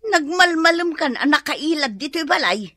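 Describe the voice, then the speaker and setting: A woman's voice: high-pitched, animated, normal speed, a bit bright. An actress playing an exasperated, scolding mother in an Ilocano comedy radio drama, with an Ilocano accent. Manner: nagging. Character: scolding mother, exasperated with lazy grown man.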